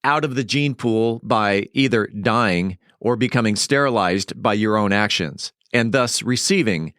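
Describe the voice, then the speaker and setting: A man's voice: fairly low pitch, unhurried, a bit bright, no room sound. Man reading local podcast news, close dry sound. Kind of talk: scripted news narration and sponsor reads.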